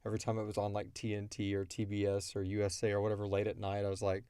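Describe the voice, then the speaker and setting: Man, podcast host, lower voice, casual, opinionated, slangy explanations, close dry recording. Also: monotone, racing, warm tone, smooth, dry recording. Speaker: podcast host answering listener questions. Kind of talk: casual chat.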